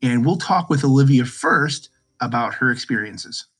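A man hosting an interview podcast, speaking in a measured, encouraging way to his guests. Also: mid-range voice, expressive, fairly bright tone. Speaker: podcast host. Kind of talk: podcast interview with school play actors.

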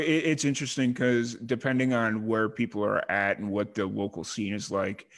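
A man hosting a music interview show, speaking in a relaxed way full of likes and you-knows. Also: lowish voice. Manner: relaxed, filler-heavy, uses like and you know. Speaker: podcast host. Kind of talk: casual interview chat.

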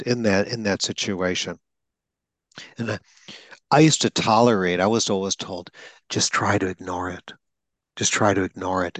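Man, very low voice, dry sound, emphatic coaching interviewer, vivid examples. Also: no room sound. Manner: emphatic, gives vivid examples and acts out lines. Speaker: interviewer, speech coach who stutters. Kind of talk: coaching conversation.

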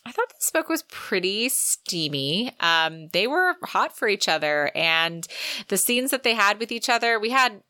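A woman's voice: medium pitch, big pitch swings, unhurried, sharp tone, dry recording. A woman co-hosting a book podcast, chatting casually and with effusive enthusiasm. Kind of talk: casual book discussion chat.

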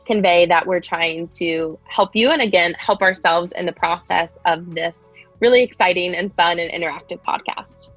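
A woman's voice: lowish voice, normal speed, fairly bright tone, muffled recording, soft background music. A woman who works as a health and wellness coach, co-hosting a podcast teaser in an upbeat manner, stretching out key words.

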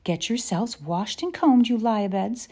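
Woman playing a brisk, scolding frontier aunt. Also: fairly high pitch, lively intonation, warm tone, slightly rough, voice pushed up, heavily acted. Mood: mock scolding. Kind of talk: storybook character voice.

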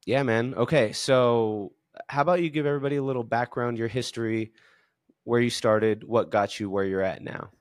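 A man in his thirties who hosts a podcast interview, talking in a relaxed and chummy way. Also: mid-range voice, moderate pace, no room sound, studio quality. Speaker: podcast host. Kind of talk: casual interview.

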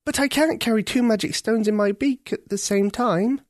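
A storyteller voicing a brave young girl who can turn into a crow, earnest and eager, pitched well above their own voice. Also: moderate pace, darkish tone, dry recording, studio quality, natural voice.

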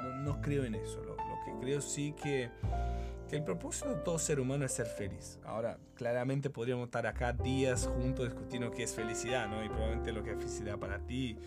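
A Brazilian man in his forties speaking Spanish with a Brazilian Portuguese accent, a company manager reflecting in a relaxed interview, often adding "¿no?". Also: medium pitch. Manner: warm, reflective, often adds "¿no?". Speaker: guest, company general manager. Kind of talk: casual interview chat. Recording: podcast with guitar music behind.

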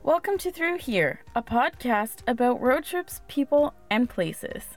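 A woman hosts a podcast, reading a prepared introduction. Her voice sits a little high, and music plays behind it. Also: very animated, unhurried. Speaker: podcast host. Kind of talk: scripted podcast introduction narration.

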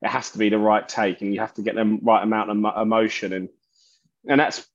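Loud-voiced man, dull lo-fi sound. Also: fairly low pitch, little pitch movement. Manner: halting, searching for words, many restarts. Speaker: musician guest, bassist and singer. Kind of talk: casual interview talk.

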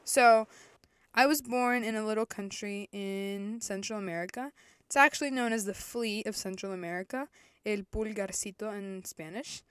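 A woman's voice: mid-range voice, moderate pace, fairly bright tone, clean tone, no room sound. A woman in her early twenties from El Salvador, hosting her own podcast and telling her story in a chatty, candid way that often corrects itself. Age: 20s. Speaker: podcast host. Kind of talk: casual faith podcast monologue.

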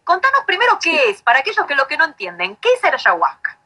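Argentine woman host, bright sharp voice a bit high, chatty and gushy with her guest. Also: wildly swooping pitch, fast. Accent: Argentine Spanish. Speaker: interviewer, host. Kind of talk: conversational interviewing.

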